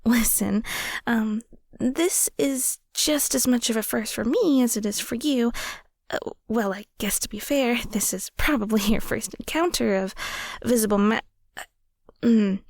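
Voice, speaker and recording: A woman voicing a playful, easygoing, easily flustered winged mistletoe sprite in an intimate audio roleplay, with casual, halting, quippy rambling. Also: a little high, no echo, studio quality, exaggerated voice. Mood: flustered, curious, then flustered, bluffing.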